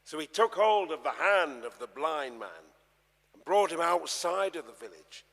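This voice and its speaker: A man reading scripture aloud as a dramatic narrator, with deliberate, expressive storytelling delivery. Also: a little high, vocal fry. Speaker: actor reading the narrator of a gospel. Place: large church, live performance before an audience. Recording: long echoey church reverb.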